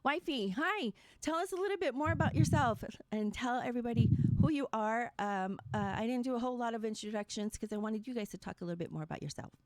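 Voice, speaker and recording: A woman hosting a casual livestream podcast as a variety streamer, upbeat and excitable, with lots of ums and restarts. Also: a little high, fast talker, hardly any pauses, no echo.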